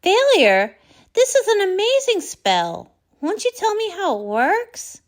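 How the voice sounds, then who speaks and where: A woman's voice: very high voice, huge pitch swings, slow pace, voice pushed up, full character voice. A woman voicing a kindly, determined young heroine pony in a storybook, encouraging and full of questions. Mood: curious, eager. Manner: encouraging, inquisitive.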